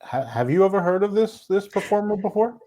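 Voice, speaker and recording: A man's voice: high-pitched, expressive, fairly quick, mellow. A man co-hosting a podcast, reviewing wrestling in casual chat, rambling with restarts and chuckles. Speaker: podcast co-host.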